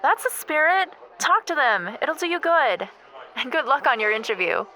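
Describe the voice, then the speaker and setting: A woman playing a blunt, cheeky, loyal best friend in a naturalistic audio drama, teasing and expressive. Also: high-pitched, moderate pace, poor audio. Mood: encouraging.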